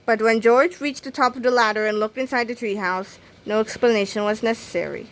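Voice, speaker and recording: A woman's voice: a little high, a little fast, low-quality recording. A woman reading a children's book aloud as scripted narration. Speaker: storybook reader.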